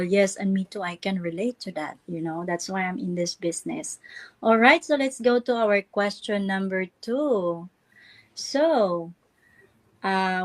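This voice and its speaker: Slow-paced woman hosting a live show. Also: medium pitch. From Philippines. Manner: unhurried, many fillers. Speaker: host.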